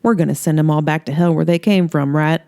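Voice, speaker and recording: A woman's voice: low-pitched, fairly even intonation, dry recording, studio quality. A woman voicing a feisty, brash woman from a trailer park who loves pink and talks back sassily, in an audiobook reading. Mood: determined. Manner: sassy, bold, quick to yell.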